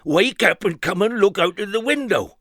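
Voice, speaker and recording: A man doing Mister Silly, eager and excitable. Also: high-pitched, normal speed, no echo, clean studio sound, pitched above the performer's own voice. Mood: excited. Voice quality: raised, eager put-on voice. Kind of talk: storybook reading.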